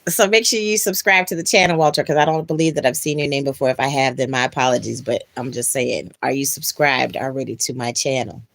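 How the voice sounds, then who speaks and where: A woman's voice: extremely low pitch, fast, hardly any pauses. A young woman chatting with viewers on a livestream from her bed at home, drowsy and murmuring, often dropping to a whisper. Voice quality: husky, breathy voice. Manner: drowsy, drifting between murmurs and sudden loud laughs. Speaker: livestream host. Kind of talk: casual livestream chat with viewers. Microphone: phone camera.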